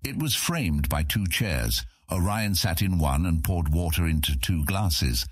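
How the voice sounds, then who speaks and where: Very deep, husky male audiobook narrator. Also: lively intonation, dry recording, studio quality. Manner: measured storytelling. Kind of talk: scripted fantasy audiobook narration.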